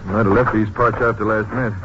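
An actor playing a plain-spoken highway patrol captain, an informal and brief-speaking partner, in a 1950s radio drama. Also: male voice, little pitch movement, a little fast, natural voice. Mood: watchful. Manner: informal, folksy, brief remarks. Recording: noisy, dull 1950s radio recording.

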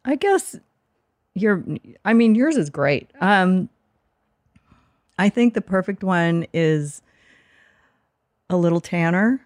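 A woman's voice: on the low side, expressive, takes it slowly, long pauses, a little mellow, dry recording, studio quality. A woman co-hosting a casual podcast chat, teasing and playful, laughing often. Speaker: co-host.

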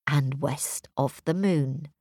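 A woman puts on a gentle, kindly old woman from a fairy tale, speaking low and unhurried. The voice is a little lower than her usual and sounds close and dry. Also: no room sound.

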